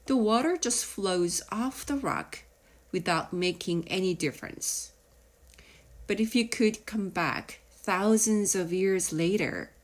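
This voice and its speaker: A woman narrating a children's nonfiction audiobook in a measured, explanatory way with deliberate pauses. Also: lowish voice. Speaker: audiobook reader.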